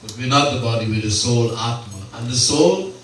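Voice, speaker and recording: A man, a swami, giving a slow devotional sermon at a home gathering, repeating key phrases and mixing in Hindi terms. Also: mid-range voice, expressive, a little hiss or hum. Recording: very echoey room, mic far off.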